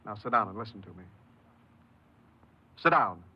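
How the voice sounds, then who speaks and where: An actor playing a firm, patient parole official in a 1950s American radio drama, measured and reasoning with authority. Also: male voice, on the low side, lively intonation, breathy edge. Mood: firm, reassuring. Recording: muffled old radio recording.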